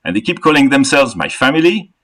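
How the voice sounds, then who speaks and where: A man doing a stand-up set from his living room, telling deadpan jokes. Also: mid-range voice, brisk. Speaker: stand-up comedian.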